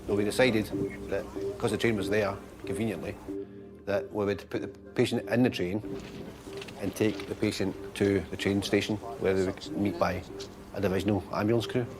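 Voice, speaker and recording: Low-voiced man explaining step by step. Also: steady tone, moderate pace, over music. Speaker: paramedic. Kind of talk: interview.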